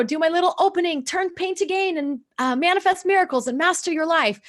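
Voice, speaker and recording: A woman from Russia, a native Russian speaker working as a mindset coach, talks to live viewers in an unscripted, confiding and earnest way, halting at times and stretching words for emphasis. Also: sits high, fairly bright tone.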